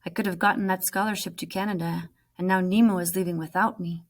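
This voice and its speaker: A woman's voice: lowish voice, steady tone, fast. A woman voicing a defiant, stern young refugee woman from a storybook, firm and scolding. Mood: bitter, resolute.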